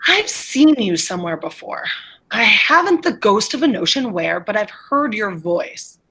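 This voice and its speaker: A woman reading the part of a brusque, impatient gentleman professor in a stage play, blunt, offhand and theatrical. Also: medium pitch, sing-song, a little slow, breathy edge, full character voice, rough recording. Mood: offhand, distracted.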